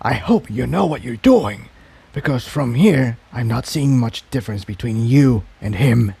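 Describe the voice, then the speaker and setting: A man's voice: mid-range voice, a little slow, creaky, slightly rough, exaggerated voice. A man voicing a level-headed male unicorn who reasons evenly as a peacemaker in a storybook reading. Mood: whispered, grim. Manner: even, reasoning.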